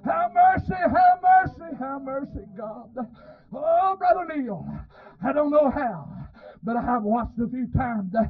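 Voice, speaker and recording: A male revival preacher with a hoarse, strained voice, delivering a fervent, pleading sermon that is impassioned and punctuated with amens. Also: very high-pitched, lively intonation, measured pace. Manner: impassioned, pleading, breaking into shouts and cries; punctuates phrases with repeated amens. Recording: muffled, phone-like lo-fi sermon recording.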